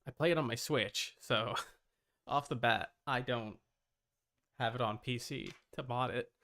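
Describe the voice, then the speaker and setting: A man's voice: mid-range voice, a little slow, a bit bright. A man chatting casually as a streamer, reacting to a video. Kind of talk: casual livestream chat.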